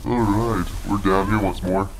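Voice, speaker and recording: A man giving joking, boastful let's play commentary through a pitched-down monster voice filter. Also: steady tone, normal speed, a little hiss or hum. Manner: joking, boastful, playful trash talk. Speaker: gamer.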